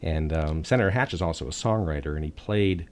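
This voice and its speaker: A man's voice: extremely low pitch, brisk. A man who advocates for musicians in policy work, telling anecdotes on a podcast panel. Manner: anecdotal, warm storytelling with laughs.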